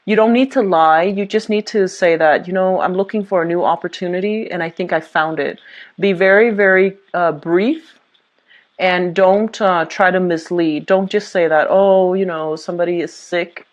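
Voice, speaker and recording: Low-voiced woman giving a tarot reading. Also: steady tone, moderate pace, muffled recording. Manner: reassuring, many fillers and restarts. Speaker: tarot reader.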